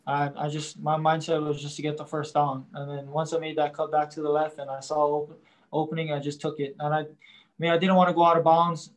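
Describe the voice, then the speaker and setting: A young man, a college quarterback, answering post-game press questions in a halting way, leaning on "I mean" and crediting his teammates. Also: fairly high pitch, flat delivery, low-quality recording. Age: young adult. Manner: halting, starts nearly every phrase with "I mean".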